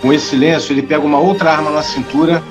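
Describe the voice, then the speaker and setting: A man's voice: on the high side, steady tone, fast, dull audio. A man narrating a scripted audio drama, reading out the scene action. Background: clear music.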